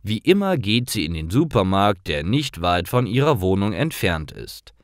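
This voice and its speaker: A man reading simple scripted stories aloud in a clear, deliberate manner, as a storyteller for language learners. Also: fairly low pitch, very animated, no room sound, studio quality.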